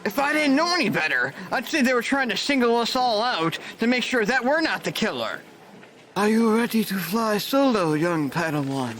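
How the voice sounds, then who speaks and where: An actor playing a cynical, crude-talking hospital worker in an audio drama. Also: male voice, sits high, normal speed, slight background noise. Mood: sardonic. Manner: sarcastic, crude, animated.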